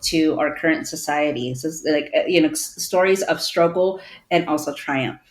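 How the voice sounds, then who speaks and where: A woman's voice: deep voice, moderate pace. A woman from Peru, a guest on a podcast, speaking thoughtfully with many fillers. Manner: warm, thoughtful, with frequent fillers. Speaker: guest, clinical social worker. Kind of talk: conversational interview.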